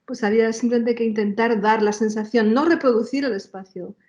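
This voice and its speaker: A woman interviewed as a sound artist, explaining casually and haltingly, often repeating words as she finds her phrasing. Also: a little high, darkish tone, muffled recording. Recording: roomy echoing sound.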